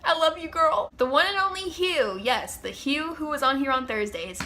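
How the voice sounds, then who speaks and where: A woman's voice: sits high, lively intonation, moderate pace, sharp tone, a little hiss or hum. A young woman with a congested, stuffy nose, chatting as host of her own Q&A vlog with gushing fan enthusiasm. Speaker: channel host. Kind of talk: casual Q&A vlog chat.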